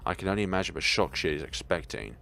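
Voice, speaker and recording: A man's voice: sits low, lively intonation, quick pace, vocal fry, fairly bright tone. A man reading a visual novel aloud as a let's player, giving dramatic scripted narration with occasional stumbles and repeated words.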